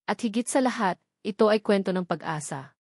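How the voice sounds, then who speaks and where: A woman narrating a scripted love story as a storyteller, with a measured, earnest, sentimental delivery. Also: mid-range voice, lively intonation, darkish tone, dry recording, clean studio sound.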